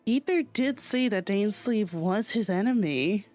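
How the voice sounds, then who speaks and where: A woman game streamer giving casual, expressive reaction commentary and thinking aloud as she plays. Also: mid-range voice, a little slow. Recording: game music behind.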